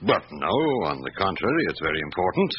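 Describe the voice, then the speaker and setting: Muffled old radio drama: middle-aged detective chief, low register, patient, probing, authoritative. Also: male voice, very animated, quick pace, slight background noise. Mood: businesslike, attentive. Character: patient, methodical middle-aged male police chief inspector.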